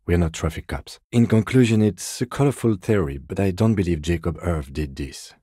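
A very low-voiced, reserved police detective from a video game. He speaks in a dark, slightly husky tone, formal and measured, with deadpan understatement and dry wit. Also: dry recording. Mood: dry, composed. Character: reserved, dry-witted, principled male police detective partner. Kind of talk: scripted video game dialogue lines.

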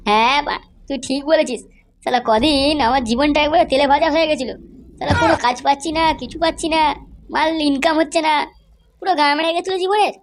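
Man playing a rapid-fire, squeaky-high cartoon henchman. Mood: complaining, relieved. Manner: eager, deferential, complaining. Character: deferential, chattering male henchman of a gangster boss. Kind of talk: comedy cartoon dialogue.

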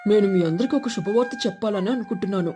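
A woman's voice: mid-range voice, fast, over music, close to an everyday voice. A woman voicing a protective father sparrow, a firm and earnest family man in a cartoon story.

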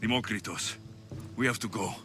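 An actor playing a compassionate young Greek mercenary in a video game, speaking in an earnest, measured, plain way. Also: male voice, lowish voice, bright, forceful tone. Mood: solemn, consoling. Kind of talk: video game dialogue acting. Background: game music.

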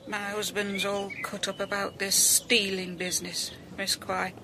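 A gravelly, plainspoken mother mourning her son, as an actress plays her in a radio drama. Her voice sits at a middling pitch. Clear outdoor ambience runs behind her. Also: flat delivery, a little slow, background noise, close to an everyday voice. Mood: grieving. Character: grieving mother of a dead young man. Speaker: bereaved mother. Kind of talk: BBC radio drama acting.

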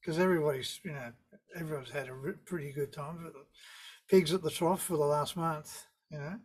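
An older man with a Tasmanian accent, a surf photographer talking on a video call in a relaxed, rambling way with dry understatement. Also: on the high side, a little fast, crowd noise. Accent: Tasmanian Australian. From Tasmania, Australia. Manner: relaxed, rambling storyteller with laid-back understatement. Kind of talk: casual interview on a video call. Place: indoor room with a virtual wave backdrop. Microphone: video call webcam.

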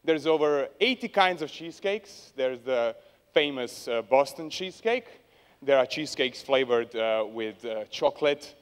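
Young man presenting, smooth voice, hall reverb. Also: medium pitch, expressive, moderate pace. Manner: hesitant, dryly humorous, many fillers. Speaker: conference speaker. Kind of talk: conference presentation. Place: large conference hall stage. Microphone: handheld mic.

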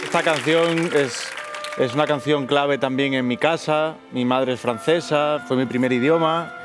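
Middle-aged male coach, mid voice, earnest praise over music and a loud audience. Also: a little high, unhurried, a crowd in the background. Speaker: show coach. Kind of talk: casual spoken feedback. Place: large TV studio.

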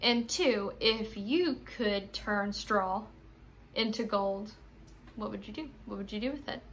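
A woman's voice: medium pitch, slow, a little hiss or hum. A young adult American woman, a teacher reading and talking expressively to her pupils. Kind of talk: expressive storybook narration.

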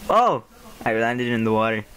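This is a teenage boy from California, about fifteen, playing a game with friends over voice chat. He giggles a lot and teases the others. His voice sits at an ordinary middle pitch for a boy his age. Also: sing-song, normal speed, a bit bright. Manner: giggly, teasing, often breaks into laughter. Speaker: channel owner recording the gameplay. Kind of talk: casual gaming chat over voice call.

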